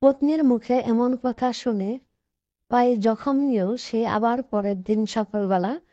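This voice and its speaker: A female narrator reads a scripted cartoon story. Her voice sits a little high with a dark, relaxed tone, and the sound is very dry and slightly lo-fi. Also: a little slow, no room sound. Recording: dull, dry lo-fi track.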